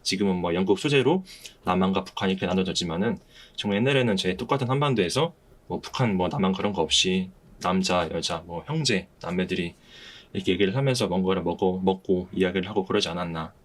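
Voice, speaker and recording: Low-voiced man hosting a casual podcast. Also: quick pace. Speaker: podcast host. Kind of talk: casual podcast discussion of a play.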